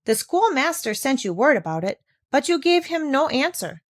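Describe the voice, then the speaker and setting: A woman's voice: high voice, very animated, moderate pace, no room sound, clean studio sound, voice pushed up. A woman voicing a kindly old village pastor in a storybook, earnest and formal in manner. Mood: reproachful.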